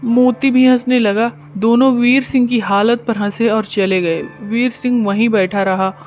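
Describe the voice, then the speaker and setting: A woman's voice: on the high side, steady tone, a little slow, darkish tone, soft background music. A woman narrating a scripted moral story as the narrator.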